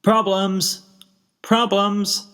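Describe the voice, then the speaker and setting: A man's voice: high-pitched, flat delivery, very slow, pushed and bright, clean tone, pitched above the performer's own voice, heavily acted. A man voicing a small, young, earnest and easily discouraged cartoon dragon with a plaintive manner in a story reading. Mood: flat, weary. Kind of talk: fan fiction character reading.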